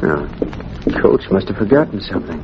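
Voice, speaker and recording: An actor playing a polite, earnest young college football star in an old radio drama. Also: male voice, sits low, big pitch swings, fairly quick. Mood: cheerful. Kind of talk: 1940s radio drama acting. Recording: muffled, noisy old radio recording.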